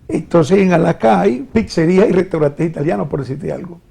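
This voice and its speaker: A middle-aged or older Venezuelan man, a veteran radio presenter, reminiscing casually as a guest in a halting, agreeable way. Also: high-pitched, vocal fry, mellow, breathy edge.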